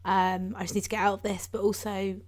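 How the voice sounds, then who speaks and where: A woman's voice: mid-range voice, flat delivery, fast talker. A woman in her twenties, co-hosting a podcast and talking casually about feelings and growing up, with lots of fillers and laughs. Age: 20s. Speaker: podcast co-host. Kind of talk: casual chat.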